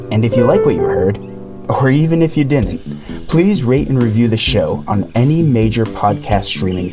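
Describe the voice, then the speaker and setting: A man narrating a scripted podcast as its host. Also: fairly low pitch.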